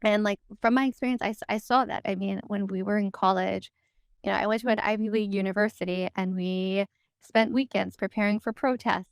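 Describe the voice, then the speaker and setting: A woman's voice: mid-range voice, steady tone, brisk, clean tone, dry recording, clean studio sound. A woman with an American accent telling her story conversationally in an interview, reflective and informal, often saying "like" and "you know". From United States. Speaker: podcast guest and co-founder.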